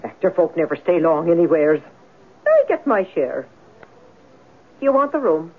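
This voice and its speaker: A woman's voice: lowish voice, very animated, normal speed, breathy edge, heavily acted. An actress playing a nervous, chatty working-class landlady in a radio drama, with folksy, ungrammatical speech. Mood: brisk, chatty. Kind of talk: old-time radio drama acting. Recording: narrow-band old radio recording.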